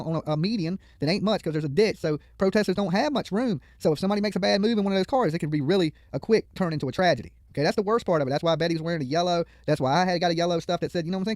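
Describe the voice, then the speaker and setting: A man's voice: high voice, darkish tone, no room sound, studio quality. A man hosting a livestream with casual, blunt, opinionated commentary and plenty of colloquial swearing. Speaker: YouTube livestream host. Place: studio.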